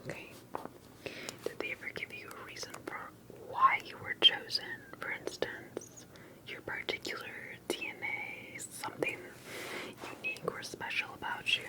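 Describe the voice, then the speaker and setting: A woman speaking in a breathy, unvoiced whisper, gently and hesitantly putting questions in an ASMR roleplay interview, with soft unhurried pauses. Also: poor audio. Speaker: ASMR performer playing an interviewer.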